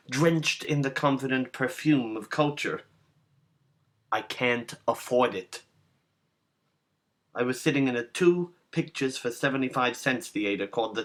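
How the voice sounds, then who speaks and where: A man with a mid-pitched voice reads a literary short story aloud. He narrates in measured phrases, placing each one deliberately. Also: fairly bright tone. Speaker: narrator, reader.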